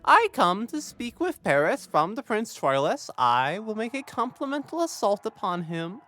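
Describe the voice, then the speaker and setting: Fussy, swooping courtly flatterer, played by an actor. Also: mid-range voice, moderate pace, soft background music. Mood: effusive, flattering. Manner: effusive, repetitive, pompous courtly flattery. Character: Pandarus, fussy, courtly, flattering lord and go-between. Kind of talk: Shakespearean audio drama acting.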